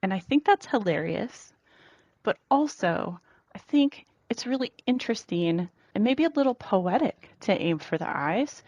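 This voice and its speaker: A woman leaving a casual voice message as a listener, giving relaxed spoken commentary. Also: medium pitch, a little slow, no echo. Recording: dry, dull lo-fi voice message.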